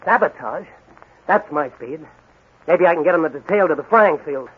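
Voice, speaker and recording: A man's voice: fairly high pitch, lively intonation, normal speed, slightly rough, narrow, tinny audio. An actor playing a cocky, wisecracking policeman hero in a 1940s-style radio drama, on an old recording. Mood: breezy. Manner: wisecracking, slangy. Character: Blue Beetle, cocky masked crime-fighting policeman hero.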